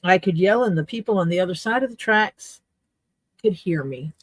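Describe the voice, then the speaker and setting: A woman's voice: lowish voice, animated, normal speed, no echo. Chatty woman crafting on a dry mic. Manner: chatty, explains tools step by step while working. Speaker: leatherworker livestreaming her work. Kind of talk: casual livestream chat while crafting. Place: home workspace.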